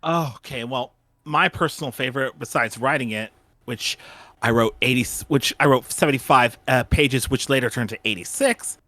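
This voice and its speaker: A man's voice: mid-range voice, moderate pace, sharp tone. A man hosting a casual fan retrospective panel, often repeating and restarting his phrases.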